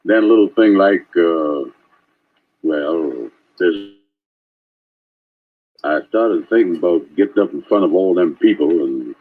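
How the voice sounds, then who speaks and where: An actor playing a sheepish, nervous man in an old radio comedy, halting and folksy, on a tinny vintage recording. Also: sits low, lively intonation, slightly rough, heavily acted. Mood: sheepish, hesitant. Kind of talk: 1930s-40s radio comedy acting.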